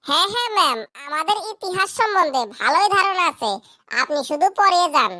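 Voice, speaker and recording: Mischievous cartoon kid, sharp and very high, dry, voiced by a woman. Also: animated, brisk, no room sound, exaggerated voice. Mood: sulky. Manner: sulky, rough-spoken. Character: sulky mischievous schoolboy who sings. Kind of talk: cartoon dub acting.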